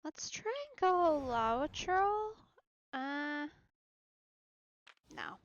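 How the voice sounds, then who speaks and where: A woman giving casual commentary as she plays a video game on stream, thinking aloud haltingly with murmured asides. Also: high voice, sing-song. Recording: muffled lo-fi audio, faint game music.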